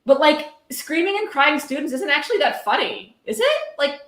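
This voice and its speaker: A woman's voice: sits high, sing-song, normal speed, fairly bright tone. A woman hosting a live-streamed reading, commenting casually in a wry, sardonic way with some swearing. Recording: roomy, echoey sound.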